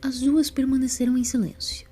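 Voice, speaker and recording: A woman narrating a scripted story as an expressive storyteller. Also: high-pitched, moderate pace, slightly rough, soft background music.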